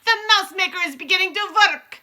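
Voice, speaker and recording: A woman voicing an imperious, gleefully cruel witch leader in a storybook, theatrical and commanding, with drawn-out exclamations. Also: high voice, expressive, pushed and bright, heavily acted. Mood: shrieking triumph.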